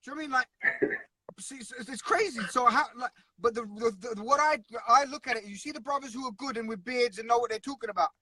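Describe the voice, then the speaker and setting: A man's voice: extremely high pitch, quick pace, bright, forceful tone, no echo, rough recording. A man talking earnestly and bluntly in a casual conversation, often repeating himself.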